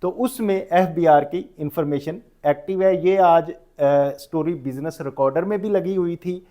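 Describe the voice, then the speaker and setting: A man with a Pakistani accent speaks in a notably high register for a male voice. He delivers an informal lecture as a professional instructor, dropping English terms into his speech. He often rephrases what he has just said to explain it clearly. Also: moderate pace, warm tone. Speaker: tax professional giving a lecture.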